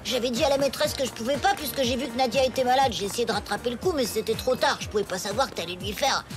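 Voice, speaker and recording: An earnest cartoon schoolkid, voiced by a woman, a boy with a fairly high voice. He explains things a touch defensively, with music clearly audible behind. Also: quick pace, lightly acted. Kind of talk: French animated comedy acting.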